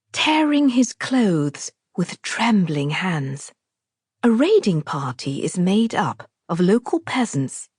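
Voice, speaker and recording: A woman reading a novel aloud as a scripted literary narration, in a measured, deliberate, expressive storytelling manner. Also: mid-range voice, husky, no room sound, studio quality. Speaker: author narrating her own audiobook.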